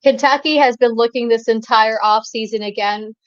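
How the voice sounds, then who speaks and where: A woman's voice: a little high, fairly even intonation, normal speed, clean tone, dry recording, dull audio. A woman co-hosting a college football show, chatting with many fillers and restarts. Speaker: co-host. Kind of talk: sports talk commentary.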